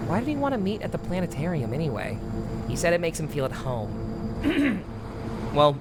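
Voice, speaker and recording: A man giving rambling, jokey, swearing commentary while he plays a horror game, over loud game music. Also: fairly high pitch, huge pitch swings, normal speed, heavy background noise. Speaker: gameplay streamer. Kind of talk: casual gameplay commentary.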